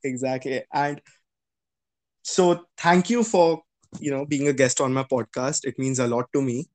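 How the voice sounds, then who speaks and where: A man's voice: medium pitch, measured pace, a little mellow. A young man hosting a podcast, asking rambling questions and reacting sympathetically. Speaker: podcast host. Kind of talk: casual interview chat.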